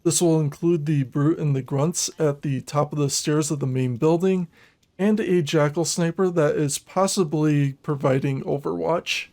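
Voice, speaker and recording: A man narrating a scripted video game walkthrough in a measured, methodical, instructional manner. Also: a little high, a little mellow.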